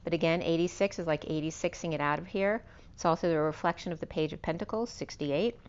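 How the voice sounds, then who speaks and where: A woman with a hoarse, fading voice giving an unscripted spiritual tarot reading, meandering and correcting herself as she lists cards and numbers. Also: sits low, fast talker, highs cut off. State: losing her voice. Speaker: tarot reader.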